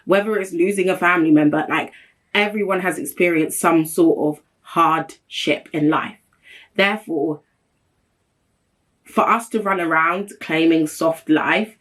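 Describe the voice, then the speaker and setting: A woman, hosting a solo vlog. She has a strong, deep voice and talks bluntly and emphatically, with a straight-talking manner. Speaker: host. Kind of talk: solo opinion vlog talk.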